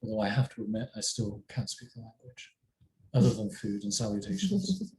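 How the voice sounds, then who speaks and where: A middle-aged man with an English accent, a parent answering interview questions in a thoughtful, measured way. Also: lowish voice, sing-song, darkish tone. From England. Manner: thoughtful, measured, self-deprecating. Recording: roomy with faint ambient noise.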